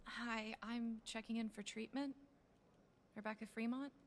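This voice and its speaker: An actress playing a hesitant, guarded new patient in a radio drama, speaking in a reserved, terse way. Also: female voice, a little high, steady tone, measured pace, slight background noise.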